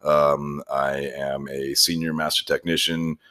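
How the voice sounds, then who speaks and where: Male co-host, bottom-of-the-range bass, casual, understated, hesitant delivery. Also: flat delivery, unhurried, bright, forceful tone. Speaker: podcast co-host. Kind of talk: casual introduction chat.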